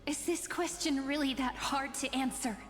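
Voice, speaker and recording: A woman's voice: high voice, fairly even intonation, normal speed, long reverb tail, faint music behind, lightly acted. An actress playing a playful, confident young woman with a light, teasing delivery for a game. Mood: probing. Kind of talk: game voice acting.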